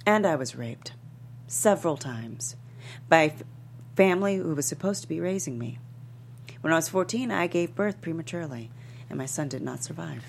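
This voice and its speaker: Woman acting wounded yet defiant female talk-show star on the stand, very low creaky voice, impassioned drama reading, humming noisy recording. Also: expressive. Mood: pained, grave. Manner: earnest, impassioned, deliberate. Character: famous woman talk-show host testifying in court, wounded but defiant. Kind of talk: dramatic script table read. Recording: noticeable background noise and hum.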